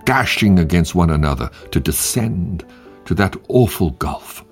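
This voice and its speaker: Male host reading devotional quotes, slow and deliberate, expressive melody, faint music underneath. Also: sits low. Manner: measured, emphatic reading with long pauses. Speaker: podcast host and devotional reader.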